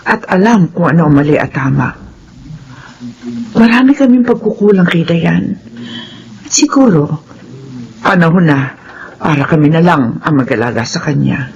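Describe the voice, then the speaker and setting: A woman playing a gracious, remorseful employer and mother in a radio drama, measured and earnest. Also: low-pitched, lively intonation, creaky, darkish tone, lo-fi sound.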